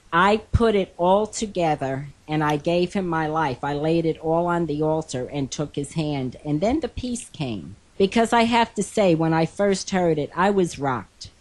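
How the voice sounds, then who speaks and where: Hoarse older woman reading scripture gently. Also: low voice. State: hoarse from a cold. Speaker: co-host.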